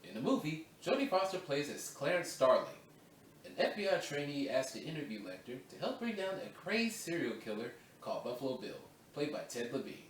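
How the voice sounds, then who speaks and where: A man reads voice-over narration for a video essay in a casual, self-correcting way, stopping to redo lines and talking loosely between takes. His pitch moves a lot as he talks. He sits away from the mic in an echoey room, with a faint hum of ambience behind him. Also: very animated, fairly quick. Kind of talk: recording voice-over takes with off-script chat. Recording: roomy echo, distant mic, faint ambience.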